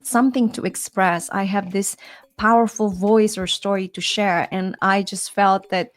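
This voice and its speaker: Earnest, expressive woman co-host. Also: mid-range voice, measured pace. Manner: earnest, flowing and expressive, with frequent "you know" and light laughs. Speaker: podcast co-host. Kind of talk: reflective conversational talk.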